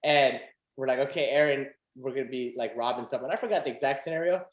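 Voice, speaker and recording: A man's voice: mid-range voice, fast. A young man hosting a podcast, chatting casually and animatedly with lots of fillers and laughter. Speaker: podcast host. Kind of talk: casual chat. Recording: echoey room.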